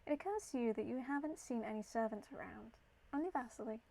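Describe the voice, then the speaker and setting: A woman with a slightly croaky voice, hosting a casual game playthrough with commentary and read-aloud text. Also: fairly high pitch, quick pace, mellow, rough recording. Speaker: gaming video host. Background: background music.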